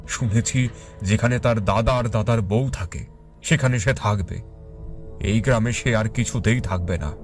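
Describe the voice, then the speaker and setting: A man's voice: fairly low pitch, a little slow, soft background music, lightly acted. An actor playing a respectful, heavy-hearted male villager, speaking earnestly in an audio story. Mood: grave.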